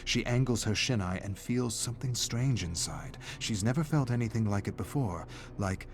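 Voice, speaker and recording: A man reading dramatic scripted narration of a dark story in a measured, suspenseful way. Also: sits low.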